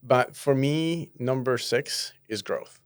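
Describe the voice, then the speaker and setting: A man co-hosting a podcast, talking casually in a relaxed way, in loose bursts. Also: mid-range voice, slow pace, smooth, dry recording, clean studio sound. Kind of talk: casual conversation.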